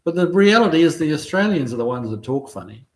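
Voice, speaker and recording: A middle-aged man with a New Zealand accent, hosting a casual livestream, reading out viewer comments and answering them in a relaxed, halting way with lots of ums and restarts. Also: on the high side. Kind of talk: casual chat.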